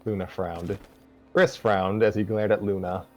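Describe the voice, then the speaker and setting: A man reading a fan story aloud as a narrator, haltingly, stopping to correct his misreadings. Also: lowish voice, fairly even intonation, a little mellow, dull audio, soft background music. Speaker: story reader. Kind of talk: scripted narration read aloud from fan fiction, with stumbles.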